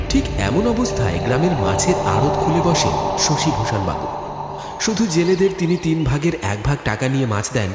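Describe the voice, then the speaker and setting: A man narrating a horror cartoon story in a dramatic, scripted storytelling style. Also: mid-range voice. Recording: echoey studio reverb, music behind. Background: music.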